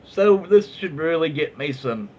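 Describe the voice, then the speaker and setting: A man's voice: a little high, expressive, a little slow, darkish tone. A man with a Southern American accent casually reading online stories aloud, folksy and informal, stumbling over words and adding off-the-cuff commentary. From southern United States. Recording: muffled lo-fi audio, faint background noise.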